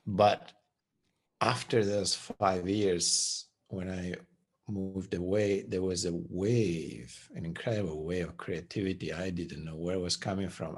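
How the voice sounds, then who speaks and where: Low, husky-voiced meditation teacher. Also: male voice. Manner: soft-spoken, unhurried, trailing off at phrase ends. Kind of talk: reflective spiritual talk.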